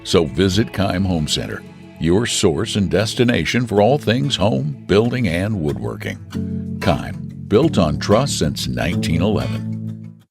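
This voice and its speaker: A man's voice: low-pitched, sing-song, unhurried, vocal fry. A man with an American accent narrating a scripted radio advertisement. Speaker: commercial narrator. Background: prominent music with guitar.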